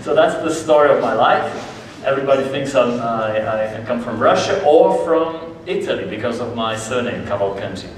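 A man in his forties gives a friendly, chatty conference talk at a mid pitch. He sounds distant from the mic, and the large hall around him adds heavy echo and a faint hum of ambience. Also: lively intonation, normal speed. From Brazil. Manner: friendly, conversational, gestures a lot. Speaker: conference speaker. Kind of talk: conference presentation. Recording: echoey hall from far off.

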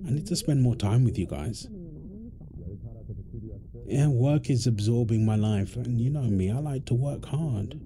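A man playing an affectionate, tired husband and father in an intimate audio roleplay, talking tenderly with soft endearments. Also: mid-range voice, unhurried, long pauses, crowd noise, natural voice. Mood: caring, relaxed. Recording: clear background ambient noise.

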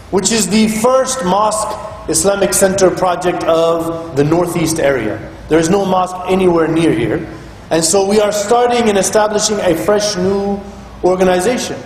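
A man preaches with an earnest, explaining manner and a fairly high voice. His words ring through a very echoey hall with a long reverb tail. A faint room hum sits behind him. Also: darkish tone. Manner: earnest, explanatory, chants Quranic Arabic. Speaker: imam giving a Friday sermon. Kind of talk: sermon. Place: rented school hall used as a mosque.

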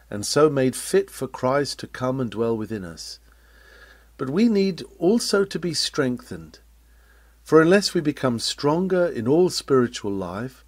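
A man's voice: mid-range voice, no room sound. A man reading an old-fashioned sermon aloud in an oratorical style, with an earnest, exhorting and solemn delivery. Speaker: reader of historic sermons. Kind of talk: read-aloud 19th-century sermon, oratorical.

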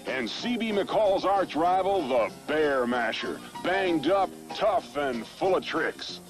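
An American man announces a vintage toy commercial in a fairly high, very animated voice. Music runs clearly behind his scripted narration. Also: slow.

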